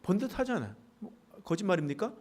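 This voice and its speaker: A middle-aged man from the Pohang area, a pastor preaching a sermon with traces of a Gyeongsang dialect, folksy and joking with the congregation. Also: fast talker. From South Korea, Pohang area. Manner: folksy, joking asides with congregation, animated pitch swings. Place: church sanctuary.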